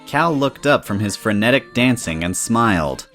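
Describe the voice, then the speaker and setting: A man's voice: medium pitch, expressive, normal speed, a bit bright, soft background music. A man reading scripted story narration in the third person, as the narrator of an audio drama.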